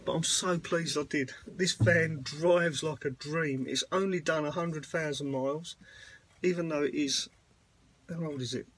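A man's voice: on the high side, fairly even intonation, unhurried, a bit bright, a little hiss or hum. A man vlogging casually outdoors beside parked vans, talking in a rambling, plain-spoken way with plenty of fillers. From United Kingdom. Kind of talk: casual walkaround vlog.

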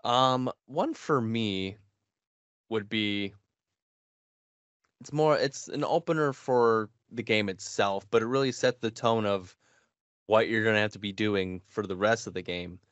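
A man's voice: lowish voice, unhurried, a bit bright, no echo. A man in his thirties chatting casually as co-host of a gaming podcast. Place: small home room. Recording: dull, lo-fi dry audio.

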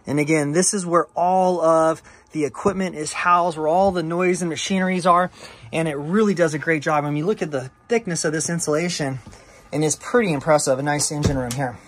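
A man with a somewhat high-pitched voice gives a relaxed, unscripted tour through the cabins of a yacht. He pauses often with fillers as he lists equipment, recorded close on a handheld camera in small wooden rooms. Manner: casual, lots of uh fillers, listing features. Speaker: yacht broker. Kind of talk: walkthrough tour narration.

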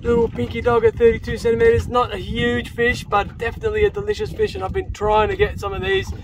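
High-pitched young Australian man, noisy outdoor recording. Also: fast, gravelly. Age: young adult. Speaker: fishing vlogger. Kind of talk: casual vlog commentary to viewers. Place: outdoors on a small open boat at sea.

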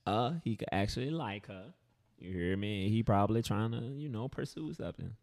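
A man's voice: on the low side, brisk, a little mellow. A young American man chatting casually with friends as a podcast co-host, speaking into a microphone. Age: young adult. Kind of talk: casual chat among friends. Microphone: podcast microphone on a stand.

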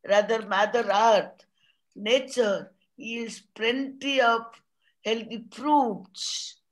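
An Indian woman with a fairly high voice gives an earnest, explanatory talk over a video call, pausing often in the middle of her sentences. She speaks as a presenter at an academic conference. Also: rough recording. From Odisha, India.

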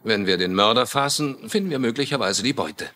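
An actor playing a brusque, guarded insurance investigator in a German-dubbed TV mystery, terse and businesslike. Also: male voice, medium pitch, lively intonation, brisk, sharp tone. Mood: guarded, matter-of-fact.

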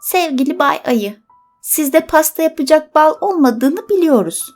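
A woman's voice: sits high, lively intonation, a little slow, soft background music, pitched above the performer's own voice. A woman voicing a polite, cheery little rabbit neighbour in a children's story, with sing-song requests. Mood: kindly.

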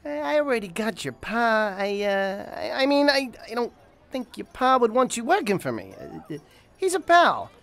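Woman playing a lively, slangy 1920s wise guy. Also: a little high, normal speed. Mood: guarded, avuncular. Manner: slangy, wisecracking, folksy. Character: breezy, streetwise 1920s businessman, guarded but friendly. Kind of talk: 1920s-style radio drama acting.

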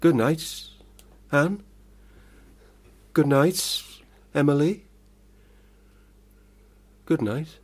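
An actor playing an unstable, self-pitying young Victorian brother, declamatory and erratic, in a period radio drama. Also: male voice, on the high side, very slow, pauses often, husky, exaggerated voice. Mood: subdued.

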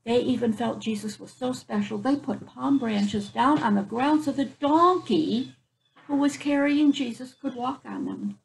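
A woman's voice: high voice, measured pace, creaky, rough recording. An older woman reading a picture book aloud to children outdoors, explaining it in simple words as she goes. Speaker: children's story reader. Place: outdoors on a front porch. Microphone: camera a few feet away.

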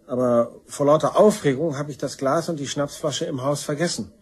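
A bitter brother accused of murder in a crime radio drama. His voice sits a little high for a man and is very hoarse-edged, with a sullen, excuse-making, everyday way of talking. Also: normal speed. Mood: sheepish. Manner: colloquial, defensive, sullen. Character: resentful, self-excusing male murder suspect, bitter brother. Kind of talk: German crime radio play acting.

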